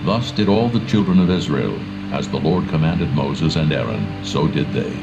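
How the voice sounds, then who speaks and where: A man giving solemn scripted scripture narration in a measured, formal manner. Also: very deep voice, expressive, gravelly. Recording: dull recording with music behind.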